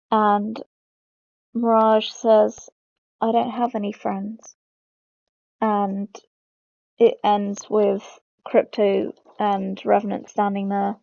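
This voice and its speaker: Mellow woman explaining, slightly creaky, dull mic. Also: medium pitch, no echo. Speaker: host of a game lore channel. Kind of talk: informal explainer narration.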